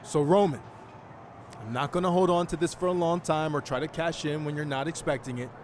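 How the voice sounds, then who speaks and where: An actor playing a cocky, determined young pro wrestler in a video game cutscene, speaking with confident challenge. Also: male voice, fairly high pitch, fairly quick, slight background noise, natural voice. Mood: confident, challenging.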